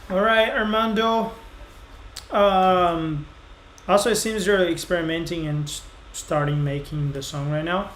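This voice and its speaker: A man's voice: sits high, fairly even intonation, measured pace, a bit bright. Brazilian-accented man giving mix feedback. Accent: Brazilian Portuguese. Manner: casual, explanatory, lots of fillers. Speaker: music reviewer host. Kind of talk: live stream song feedback. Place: small home studio room. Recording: roomy echo, faint noise.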